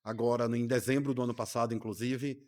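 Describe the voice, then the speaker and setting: A man's voice: mid-range voice, fairly even intonation, quick pace, darkish tone. A man, a doctor speaking earnestly and reflectively as an interview guest in a studio. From Rio de Janeiro, Brazil. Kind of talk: casual interview conversation.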